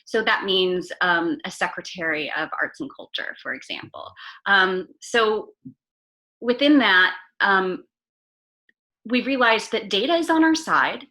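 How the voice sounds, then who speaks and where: A woman's voice: mid-range voice, lively intonation, a little slow, pushed and bright. A woman guest in an interview, an arts advocate who speaks earnestly with many "um" fillers and restarts. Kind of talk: casual interview chat.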